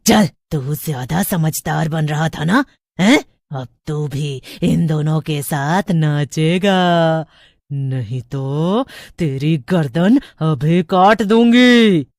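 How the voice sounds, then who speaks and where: Slow, taunting cackling witch. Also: sits low, expressive, a little mellow, no echo, clean studio sound, full character voice. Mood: threatening. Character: menacing cackling female witch leader, cruel and mocking.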